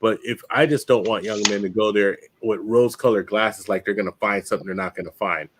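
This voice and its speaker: A man's voice: lowish voice, a little fast, noisy background. A man hosting a livestream, chatting casually and telling a long story, acting out the people in it. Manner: animated storyteller, puts on voices for people in his stories. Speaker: livestream host.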